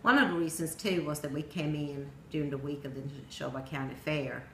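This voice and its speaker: A middle-aged woman from Sweden with a Swedish accent, telling a personal story in plain storytelling with pauses and some non-native grammar. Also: low-pitched, gravelly. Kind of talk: personal narrative speech. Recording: echoey room with faint noise.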